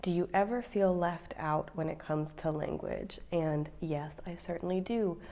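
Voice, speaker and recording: A woman's voice: fairly low pitch, steady tone, measured pace, dark tone. An American woman in her thirties, a mom vlogging in a chatty, playful way straight to her viewers. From United States. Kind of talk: casual chat to camera.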